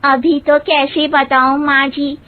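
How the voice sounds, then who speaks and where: Cartoon village daughter-in-law, acted by a woman: very high, slow delivery, innocent and cheerfully literal. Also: little pitch movement, dry recording, phone-quality sound. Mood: innocent, matter-of-fact. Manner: literal-minded, guileless, rustic phrasing. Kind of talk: Hindi comic cartoon story dialogue.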